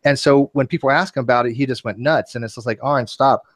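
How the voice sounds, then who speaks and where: A man's voice: mid-range voice, fairly even intonation, fast. A man in a casual philosophical discussion, explaining his points argumentatively as a guest debater.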